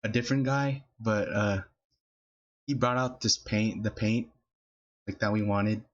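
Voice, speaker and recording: A man's voice: on the low side, steady tone, unhurried. Young male podcast host, laughs through his rambling stories, dull lo-fi sound. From Filipino family, California. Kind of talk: casual storytelling chat.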